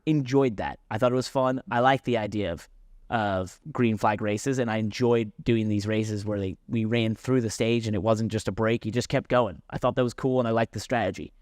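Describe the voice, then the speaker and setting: A man with a medium-pitched voice talks on a casual motorsport podcast. He explains his position point by point and often doubles back to clarify it. The sound is dry, with almost no room on it. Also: normal speed, dry recording. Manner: explanatory, self-correcting, interjecting. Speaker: podcast co-host and racing driver. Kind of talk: casual sports podcast discussion.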